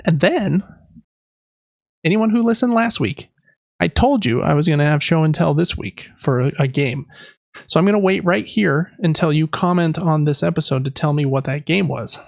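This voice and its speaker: A man's voice: on the high side, moderate pace, vocal fry, no room sound, muffled recording. A man hosting a podcast, chatting casually and explaining games with enthusiasm. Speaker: podcast host. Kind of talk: casual board game review chat.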